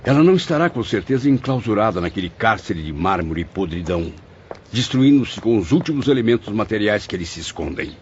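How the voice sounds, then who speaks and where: A man as a deep, expressive consoling spirit. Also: a little hiss or hum. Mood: consoling reasoning. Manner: expressive, theatrical, measured reasoning. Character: male spirit narrator, a consoling, reasoning friend and writer. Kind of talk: dramatized audiobook narration and dialogue. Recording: dull lo-fi audio with music behind.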